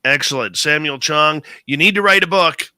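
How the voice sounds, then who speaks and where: A man's voice: fairly high pitch, normal speed, bright, forceful tone, smooth, dry recording, studio quality. A male radio show host interviewing a guest, enthusiastic and emphatic.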